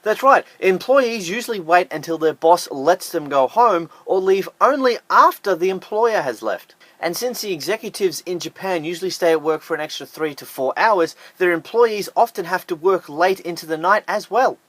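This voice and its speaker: A man's voice: high voice, animated, moderate pace, few pauses, fairly bright tone, dry recording. A man hosting an online video, narrating a scripted countdown list in an upbeat, emphatic way with jokey asides. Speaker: YouTube host.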